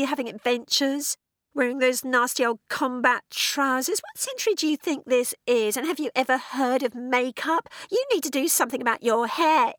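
A woman's voice: sits high, a bit bright, no echo, studio quality, pitched above the performer's own voice. A woman voicing a bitter teenage girl trapped inside someone's head, who whines, sneers and breaks into sobs. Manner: whining, sneering, sobbing outbursts. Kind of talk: audiobook character voice.

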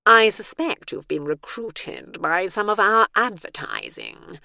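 A woman voicing a calm, officious male warden who explains the rules in a measured, bureaucratic way. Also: mid-range voice, gravelly, dry recording, clean studio sound, full character voice. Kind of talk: audiobook character voice.

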